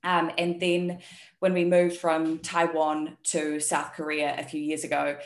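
A woman with a New Zealand accent talks as a podcast guest in a very low voice. Her speech is relaxed and open, with frequent ums. The sound is echoey, as though she is in a bare room. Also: a little slow. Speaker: guest, health and performance coach. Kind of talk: casual interview chat.

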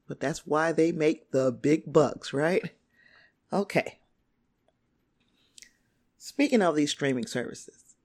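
A man hosting his own podcast solo, hoarse and raspy as he loses his voice, rambling with frequent throat clearing. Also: fairly high pitch, darkish tone. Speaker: podcast host.

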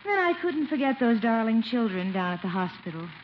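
Genial nurse, muffled radio. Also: female voice, a little high, big pitch swings, fairly quick. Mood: welcoming. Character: genial, teasing woman nurse being courted. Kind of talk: 1950s American radio sitcom acting. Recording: muffled old radio broadcast with hiss.

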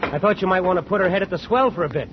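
An actor playing an earnest, dutiful ship's first mate in a 1940s radio drama, forthright but respectful. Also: male voice, high voice, very fast, background noise, natural voice. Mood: concerned, respectful. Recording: dull old radio recording with music.

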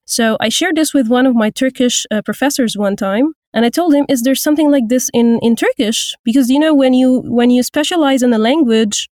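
A woman in her thirties from Egypt, a voice actor and language teacher, speaking thoughtfully with frequent pauses and restarts in an interview. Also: a little high, darkish tone, no echo, studio quality. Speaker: guest, voice actor and Turkish teacher. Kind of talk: casual interview.

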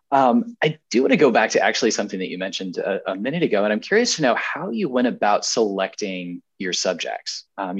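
A man co-hosting a podcast, asking measured questions and often repeating words as he searches for them. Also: lowish voice, breathy edge, dull audio. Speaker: podcast co-host. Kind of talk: casual interview.

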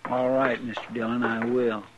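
An actor playing an anxious, obedient marshal's sidekick in a 1950s radio western, hesitant and folksy, with a rural American accent. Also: male voice, mid-range voice, level tone, normal speed, natural voice. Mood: anxious, deferential. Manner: hesitant, deferential. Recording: dull, noisy old radio recording.

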